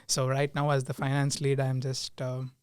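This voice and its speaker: A young man from India, a podcast guest, answering in casual chat with pauses and frequent laughs. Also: medium pitch, completely flat delivery, smooth, no room sound. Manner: hesitant, laughs often. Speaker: guest, team lead.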